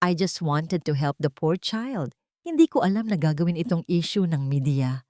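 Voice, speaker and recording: A woman's voice: deep voice, lively intonation, moderate pace, a little mellow, dry recording, studio quality. A woman voicing a two-faced young rich woman who talks sweetly and feigns concern. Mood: sugary. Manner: sugary, pretending concern, mixes in English.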